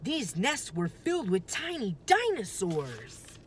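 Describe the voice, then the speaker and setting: A woman's voice: mid-range voice, wildly swooping pitch, takes it slowly. A woman reading a children's storybook aloud as a teacher would, narrating the story. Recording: faint background noise.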